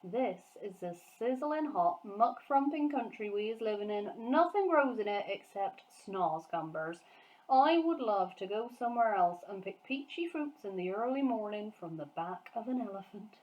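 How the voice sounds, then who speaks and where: Lady doing a big gentle giant for a kids' book, emotional, swoops about, muddles his words. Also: mid-range voice, animated, moderate pace, close to an everyday voice, poor audio. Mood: dreamy, wistful. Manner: muddled grammar with invented nonsense words. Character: huge gentle emotional male giant, excitable and dreamy.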